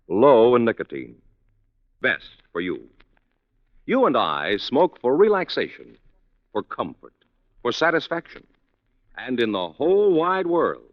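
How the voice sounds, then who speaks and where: An American man reading a 1950s radio commercial with emphatic, measured salesman delivery. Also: medium pitch, no echo. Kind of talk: 1950s cigarette ad read. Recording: dry, dull 1950s radio recording.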